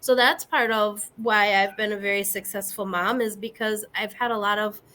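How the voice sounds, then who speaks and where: A woman from the US Midwest whose first language is Spanish, speaking as a guest on a video call panel in a reflective, earnest way with frequent pauses. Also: mid-range voice, steady tone, sharp tone. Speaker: guest panelist, community organizer. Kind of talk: casual panel chat.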